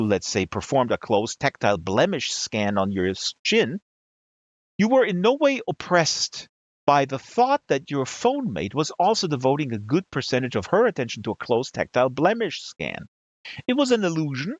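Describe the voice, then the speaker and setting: A man reading a satirical novel aloud with deliberate, emphatic phrasing, in a dull lo-fi recording. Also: fairly high pitch, dry recording. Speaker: reader of literary excerpts.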